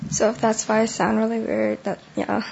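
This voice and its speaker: A young adult woman with a hoarse, worn voice, giving her testimony at church in short chunks. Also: on the high side, little pitch movement, moderate pace, darkish tone, muffled recording, a little hiss or hum. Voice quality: gravelly, husky. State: hoarse, lost voice. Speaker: baptism candidate giving testimony.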